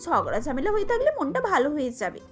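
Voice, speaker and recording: A woman's voice: sits high, huge pitch swings, quick pace, soft background music. A woman voicing a pushy, nosy young neighbour in a cartoon story, with a needling manner. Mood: breezy, needling.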